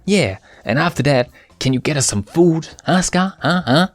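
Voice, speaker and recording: A man voicing an eager, dim male hyena henchman, excitable and pleading. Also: fairly high pitch, normal speed, no echo, soft background music, pitched above the performer's own voice.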